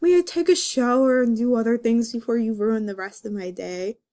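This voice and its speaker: A woman's voice: a little high, normal speed, mellow, close to an everyday voice. A woman voicing a cocky, sappy male cartoon fox from a fan comic, with a teasing, effusive, melodramatic manner. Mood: grumpy, sleepy.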